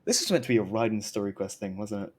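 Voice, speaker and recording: A man with a fairly low voice talks casually on a game stream. He rambles and keeps cutting into his own sentences. A faint noise and rumble sit behind his voice. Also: fast, a little mellow. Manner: rambling, self-interrupting. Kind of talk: casual gaming stream chat.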